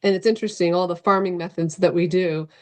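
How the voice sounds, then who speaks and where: Slightly low-voiced woman explaining casually. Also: steady tone, quick pace. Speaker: guest, novelist and professor. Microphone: video call.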